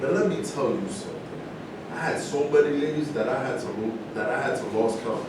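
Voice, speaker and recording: A man's voice: medium pitch, fairly quick, close to an everyday voice. A man reading the part of a boastful, defensive former boxer in a staged play, recorded in a noisy, echoing room from a distance. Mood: defensive, bragging. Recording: echoey noisy room, far from mic.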